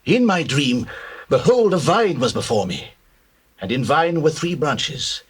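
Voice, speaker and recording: An actor playing a troubled royal butler in a scripture drama, declaiming theatrically with drawn-out words. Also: male voice, on the high side, very animated, slightly rough, exaggerated voice. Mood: awed, intense.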